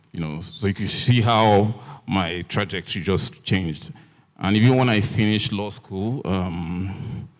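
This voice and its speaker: Man, Nigerian accent, very low pitch, author, halting, many ums. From Nigeria, Port Harcourt. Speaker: author on a panel. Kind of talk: festival panel conversation. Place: festival event hall.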